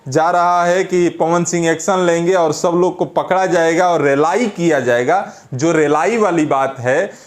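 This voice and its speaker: A man's voice: on the high side, unhurried, few pauses. A man with a Bihari accent giving informal, opinionated commentary as an online news presenter, colloquial and scolding, repeating phrases for emphasis.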